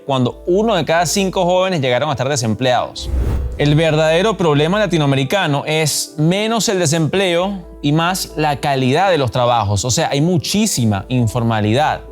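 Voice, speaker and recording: Higher-voiced male explainer narrator over music. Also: animated, normal speed. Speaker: presenter and narrator of an explainer show.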